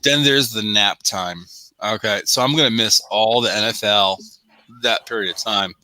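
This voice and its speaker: A man's voice: lowish voice, normal speed, bright, forceful tone, no echo. A man on a sports show panel chatting casually about football, often repeating himself for emphasis.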